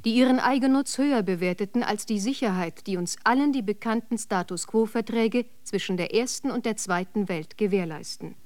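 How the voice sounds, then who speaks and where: A woman's voice: medium pitch, brisk, few pauses, a little mellow, a little hiss or hum, close to an everyday voice. A woman playing an impersonal official reading a state bulletin in a science fiction radio drama, in a formal manner. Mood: stern, official.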